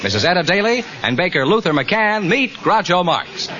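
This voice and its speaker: An American man announcing a radio quiz in a brisk, enthusiastic, scripted style. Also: high voice, big pitch swings, sharp tone. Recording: muffled old radio recording, faint noise.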